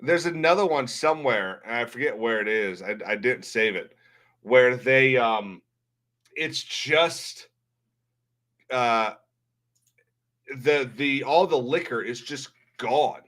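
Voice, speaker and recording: A man's voice: medium pitch, takes it slowly, long pauses, fairly bright tone. A man hosting a livestream, chatting casually in a rambling, blunt way. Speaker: livestream host. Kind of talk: casual livestream chat and commentary.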